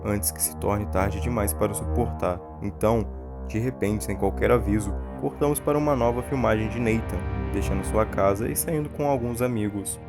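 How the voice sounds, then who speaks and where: A man's voice: fairly low pitch, moderate pace. A man with a Brazilian accent giving scripted video-essay narration and analysis. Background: background music.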